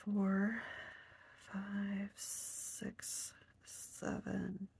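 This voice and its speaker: Woman crochet vlogger, stuffy nose, husky gravelly low voice, unhurried chat to herself. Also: monotone, a little mellow, in a whisper. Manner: talks to herself while crocheting, counting stitches under her breath. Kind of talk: casual hands-on crafting demo.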